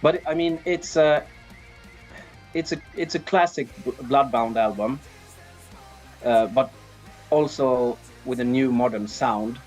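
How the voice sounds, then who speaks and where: A man with a Swedish accent talks casually as an interview guest. His pitch sits in the middle, and he often hesitates while he thinks. Faint guitar music plays behind him. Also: slow, long pauses, a little mellow. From Sweden. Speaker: band drummer, interview guest.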